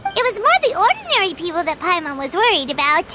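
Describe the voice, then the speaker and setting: Squeaky, very high childlike fairy companion. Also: female voice, wildly swooping pitch, fast talker, very noisy, heavily acted. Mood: wary. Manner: cheeky, exclamatory, refers to self by name. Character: small chirpy childlike floating fairy companion, female, cheeky. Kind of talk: anime game voice acting. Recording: loud background music.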